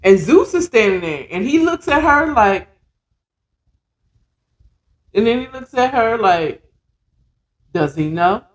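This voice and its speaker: Slow-paced, dramatic storyteller with blunt slang. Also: medium pitch, sing-song. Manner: conversational and dramatic, acting out dialogue, repeating words for emphasis. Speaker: podcast host and storyteller. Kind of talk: casual, animated retelling of a story chapter with commentary.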